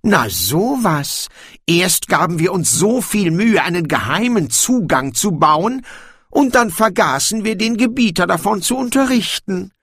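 A man voicing a proud, sly, sardonic dwarf king in an audiobook, with a slow, knowing delivery. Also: fairly high pitch, big pitch swings, bright, forceful tone, no room sound, clean studio sound, pitched above the performer's own voice, heavily acted. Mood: sly, mock surprise.

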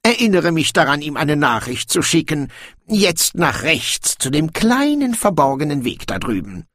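A man voicing a proud, sly, sardonic dwarf king in an audiobook, with a slow, knowing delivery. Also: on the high side, sing-song, pushed and bright, no echo, clean studio sound, pitched above the performer's own voice, full character voice. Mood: sly, mock surprise.

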